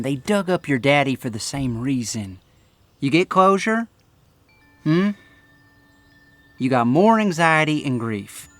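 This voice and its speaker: Plain-spoken farmhand, unhurried, folksy, protective, gently skeptical, audio drama, music underneath. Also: male voice, mid-range voice.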